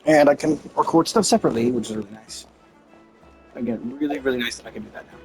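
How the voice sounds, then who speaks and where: A man's voice: medium pitch, quick pace, poor audio. A man streaming games, talking in a loose, off-the-cuff ramble with faint music underneath. He keeps interrupting his own sentences and grumbles in slang with mild exasperation. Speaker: game livestreamer. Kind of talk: casual rambling stream chat.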